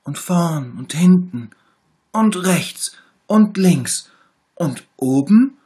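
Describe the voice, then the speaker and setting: A man voicing a little sick male tiger cub who whimpers and makes demands in a high, strained voice, in a storybook reading. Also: animated, drawn-out words, dark tone, slightly rough, heavily acted. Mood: whiny, sickly.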